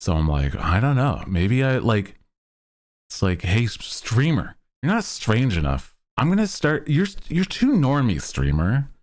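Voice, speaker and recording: A man's voice: medium pitch, wildly swooping pitch, a little slow. A man hosting a livestream, chatting casually with viewers in a rambling, jokey, self-deprecating way. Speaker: VTuber livestream host. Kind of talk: casual chat with stream viewers.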